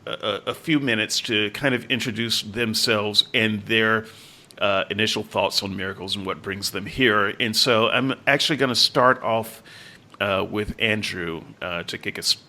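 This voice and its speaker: A man's voice: lowish voice, steady tone, measured pace, bright, forceful tone. A man hosting a podcast panel, introducing guests with loose jokes and many fillers. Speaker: podcast host and moderator. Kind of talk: casual panel chat.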